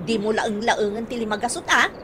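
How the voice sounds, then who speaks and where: Actress as a high-voiced nagging Ilocano wife, noisy audio. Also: female voice, brisk, natural voice. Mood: scolding. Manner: nagging, argumentative. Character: sharp-tongued, debt-ridden wife, demanding and defensive. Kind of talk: radio comedy-drama acting.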